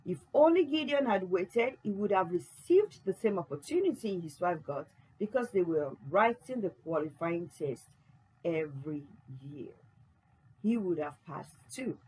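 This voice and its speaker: A woman's voice: on the low side, animated, unhurried, warm tone, breathy edge, rough recording. A woman reading a stage narrator who recounts and explains the story's events. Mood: explaining.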